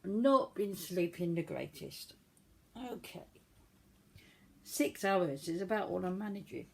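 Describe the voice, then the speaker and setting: A woman with a cold, croaky voice, chatting casually through a makeup tutorial in a self-deprecating way. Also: on the low side, expressive, a little slow, a little mellow. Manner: chatty, self-deprecating, talks while working. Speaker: beauty vlogger.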